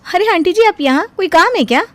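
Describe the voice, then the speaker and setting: A woman's voice: very high-pitched, animated, fairly quick, dry recording, voice pushed up. A woman voicing a brash, self-righteous young daughter-in-law in a cartoon, arguing back defensively. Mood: indignant. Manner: butts in, argues back, defensive. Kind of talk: cartoon voice acting.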